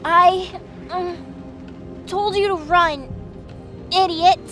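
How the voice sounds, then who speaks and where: Wounded winged goddess, played by a woman, very high voice, dragging halting delivery, blunt and gruff, music behind. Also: fairly even intonation, exaggerated voice. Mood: strained, scolding. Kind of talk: dramatic audio story character voice.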